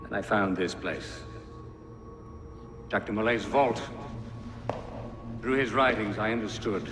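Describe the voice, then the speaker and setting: Game villain, male actor: middle-aged secret-order zealot, low grandiose delivery, heard in a cavernous echoing hall with noisy ambience. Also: over music, close to an everyday voice. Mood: fervent, reverent. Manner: grandiose, measured, prophetic speechifying. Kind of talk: dramatic video game cutscene acting.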